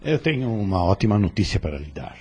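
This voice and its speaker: An actor with a Brazilian Portuguese accent playing a kindly, devoted spirit instructor in an audio drama. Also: male voice, on the low side, sing-song, fast talker, a little mellow, husky, full character voice. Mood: kindly, pleased.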